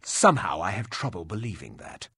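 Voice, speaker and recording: A man voicing a stern, humorless, gruff hired warrior in a video game, with a husky voice and a curt, scornful way of speaking. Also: sits low, very animated, a little fast, a bit bright, no room sound, clean studio sound, heavily acted. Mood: dry.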